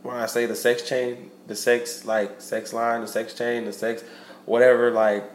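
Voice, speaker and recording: A man's voice: mid-range voice, little pitch movement, measured pace. A young man hosting a solo podcast, talking loosely with slang, fillers and restarts, and sounding stuffy from a cold. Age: young adult. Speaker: podcast host. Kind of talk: casual solo podcast monologue. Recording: roomy echo with faint ambient noise.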